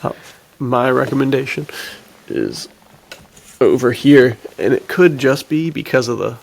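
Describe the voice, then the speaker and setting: A man's voice: mid-range voice, measured pace, vocal fry, rough recording. A man hosting a podcast, chatting casually about films and books in a slangy, enthusiastic, laid-back buddy style. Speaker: podcast host.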